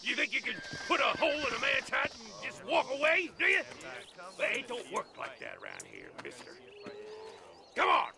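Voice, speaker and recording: A man's voice: very high-pitched, wildly swooping pitch, moderate pace, creaky, a crowd in the background, exaggerated voice. An actor playing a belligerent male frontier townsman in a video game, with an aggressive, threatening manner. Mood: hostile. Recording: game soundtrack music underneath.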